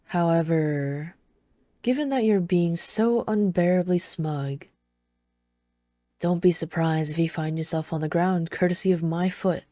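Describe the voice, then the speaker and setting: Woman as a low-voiced, restrained dutiful knight. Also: mellow, no echo, lightly acted. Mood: wry, restrained. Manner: dry, restrained, formal, measured. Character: reserved, dutiful female knight, dryly scolding royal lover. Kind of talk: ASMR roleplay acting.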